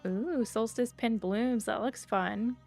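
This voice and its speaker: A woman game streamer chatting casually and informally to viewers, rambling a little in a creaky voice. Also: a little high, slow pace, a little mellow. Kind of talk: casual livestream chat over gameplay. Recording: faint music behind the voice. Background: faint game music.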